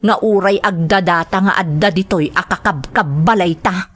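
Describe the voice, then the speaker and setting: A woman's voice: on the low side, moderate pace, fairly bright tone. A woman playing a hurt, resentful young adult elder sister in a radio drama, serious and emotional. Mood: bitter, resentful.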